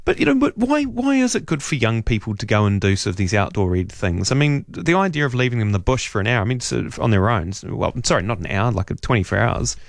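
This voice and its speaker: A man's voice: lowish voice, sing-song, fast talker, gravelly, hardly any pauses, no room sound, clean studio sound. A middle-aged man hosting a radio talk show, chatting and rambling with fillers and restarts. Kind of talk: casual radio chat. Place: radio studio.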